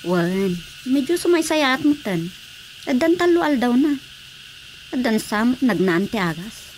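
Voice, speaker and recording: Grumbly ilocano wife, fed up, high and super animated, radio comedy. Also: female voice, a little slow. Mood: exasperated. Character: exasperated wife of a stubborn, ailing village councilor. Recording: faintly noisy radio drama track.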